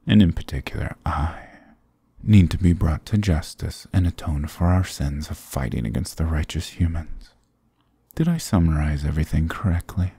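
A man's voice: rumbling low, warm tone, husky, dry recording, full character voice. A man voicing a sarcastic, dominant demon king in an ASMR roleplay, with a taunting, condescending, theatrical delivery. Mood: sardonic.

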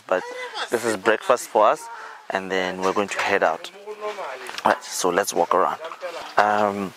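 Relaxed man giving a campsite tour. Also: fairly low pitch. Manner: relaxed, explanatory, with fillers. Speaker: vlog host. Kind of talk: casual campsite walkthrough. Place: outdoor campsite in dry bush. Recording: outdoor camera audio with clear noise.

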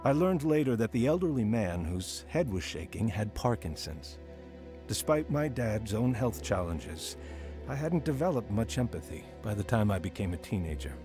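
A man's voice: fairly low pitch, sing-song, normal speed. An actor playing a reflective, remorseful man who narrates his own youth in a radio drama. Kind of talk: scripted first-person drama narration. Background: music.

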